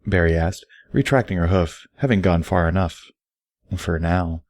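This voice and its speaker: Low-voiced man narrating a story. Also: normal speed, darkish tone, no room sound, studio quality. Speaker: audiobook reader.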